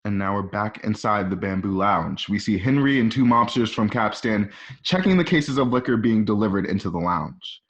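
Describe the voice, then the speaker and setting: A man's voice: fairly low pitch, lively intonation, moderate pace. A man reading scripted scene descriptions as the narrator of a radio play. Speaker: narrator reading stage directions. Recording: muffled home recording.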